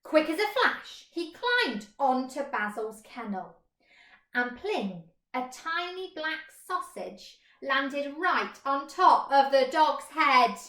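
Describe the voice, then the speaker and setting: A woman's voice: sits high, sharp tone. A woman teacher reading a children's story expressively in a roomy space.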